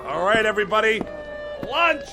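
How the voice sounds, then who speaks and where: An actor playing a harried, impatient film director calling out orders to the crew on set. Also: male voice, sits high, very animated, over music. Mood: brisk. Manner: brisk, commanding.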